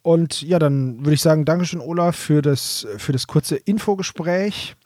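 A man's voice: fairly high pitch, normal speed, a little mellow, no echo, clean studio sound. A man chatting casually as a podcast host.

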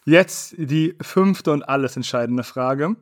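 Ein Mann, der als Quizleiter in einem lockeren Podcast Begriffe vorliest und erklärt. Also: male voice, a little high, takes it slowly. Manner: reads definitions aloud, explains. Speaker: quiz host. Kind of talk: casual podcast quiz.

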